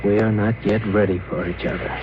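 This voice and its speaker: A man's voice: on the low side, fairly even intonation, fast talker, natural voice. Man playing an earnest spaceship officer, muffled recording. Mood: sad, regretful. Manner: earnest, careful, respectful. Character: earnest, deferential male junior spaceship officer, sympathetic. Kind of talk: 1950s radio drama acting. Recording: noisy, muffled old radio recording.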